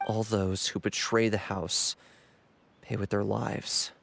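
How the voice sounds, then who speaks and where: A man's voice: lowish voice, flat delivery, takes it slowly, creaky, full character voice. A man voicing an apologetic young man bound by rules in a video game, hesitant, earnest and remorseful. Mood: grave.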